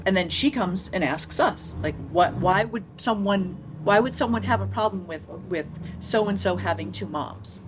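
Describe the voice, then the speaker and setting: A woman's voice: mid-range voice, normal speed, hardly any pauses. A woman on a panel talking conversationally, repeating phrases for emphasis. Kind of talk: conversational personal anecdote. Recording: clearly noisy room ambience.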